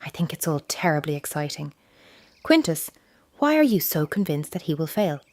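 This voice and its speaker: An actress in a historical audio drama playing an excitable Roman noblewoman, a mother, speaking in a genteel, animated way. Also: low-pitched, normal speed, dry recording. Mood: excited, curious.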